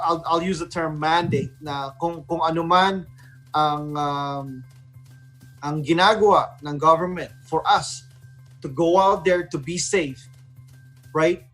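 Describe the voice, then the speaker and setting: A man's voice: fairly high pitch, a bit bright. A man with a Filipino accent hosting a casual radio discussion, speaking hesitantly and switching between English and Tagalog. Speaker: online radio host.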